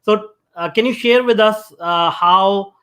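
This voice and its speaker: A man's voice: high voice, a little slow, sharp tone, clean tone. A man hosting an interview show, supportive, with short affirmations and questions full of hesitations. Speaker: show host.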